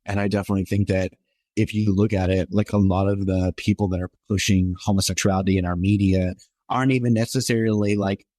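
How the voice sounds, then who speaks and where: A man's voice: level tone, fast talker, darkish tone, no room sound. A man in his twenties, a podcast guest, telling his personal story in a rambling, earnest way full of fillers. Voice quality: somewhat high voice for a man.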